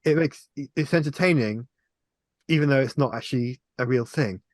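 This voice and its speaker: A man's voice: medium pitch, normal speed, a little mellow, no room sound. A man chatting casually as a panelist in a film review on a video call.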